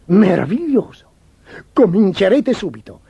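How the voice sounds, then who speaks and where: A man voicing a vain, imperious, excitable emperor in a children's storybook, with a pompous, demanding manner. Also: high voice, unhurried, gravelly, breathy edge, no echo, lo-fi sound, pitched above the performer's own voice, heavily acted. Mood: excited, commanding. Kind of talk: theatrical children's storybook acting.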